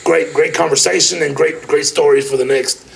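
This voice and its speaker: A man's voice: a little high, fairly even intonation, moderate pace, vocal fry. A middle-aged man co-hosting a casual podcast, rambling and repeating himself, often saying "dog". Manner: rambling, repeats words, says "dog" a lot. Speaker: podcast co-host, the uncle. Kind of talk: casual chat. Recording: noisy home recording.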